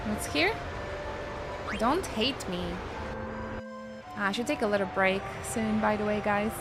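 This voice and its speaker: Unhurried Polish-accented woman streamer. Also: mid-range voice. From Poland. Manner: chatty, musing aloud to viewers while playing. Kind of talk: live-stream gameplay commentary. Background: game music.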